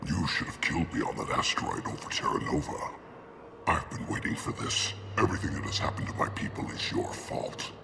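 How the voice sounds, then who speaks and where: An actor playing a bitter, proud alien military officer in a video game, speaking in a gravelly, menacing, accusing growl. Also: male voice, rumbling low, brisk, background noise. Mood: bitter menace. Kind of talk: dramatic video game acting.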